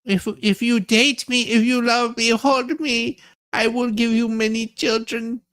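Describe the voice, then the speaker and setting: A woman's voice: a little high, steady tone, unhurried, a bit bright. A woman voicing a folksy, sassy Southern courtroom witness in a theatrical, stammering comedic reading. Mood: pleading, absurd.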